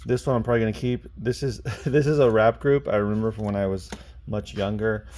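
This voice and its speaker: A man's voice: on the low side, fairly quick, a little mellow. A man chatting casually in a vlog about his own work, offhand and thinking aloud as he goes. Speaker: online reseller and bookstore owner, vlog host. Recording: clear background noise.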